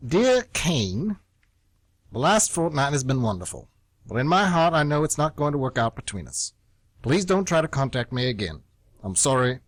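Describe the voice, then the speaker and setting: Male actor as jilted airport traveller, fed up and blunt, lively pitch swings, dry close recording, radio drama. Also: normal speed, no echo. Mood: wounded, incredulous. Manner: irritable, blunt, incredulous. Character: exasperated, heartbroken male traveller snapping at a stranger. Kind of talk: amateur radio play acting.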